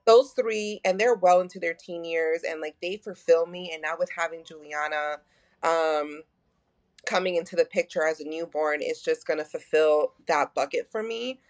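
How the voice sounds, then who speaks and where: A woman's voice: deep voice, moderate pace. A woman in her thirties with a Texan accent, talking openly as a podcast guest, full of fillers and acted-out quotes. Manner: open, animated, many fillers, acts out quotes. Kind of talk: candid conversational storytelling.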